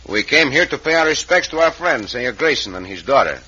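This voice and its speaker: An actor playing a courteous, confident Mexican cowboy hero with a Mexican accent and formal speech in an old radio western. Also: male voice, mid-range voice, lively intonation, a little fast, bright, forceful tone, breathy edge. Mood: polite, probing. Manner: formal, courteous, avoids contractions. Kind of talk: 1950s radio western acting. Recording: dull, hissy old radio recording.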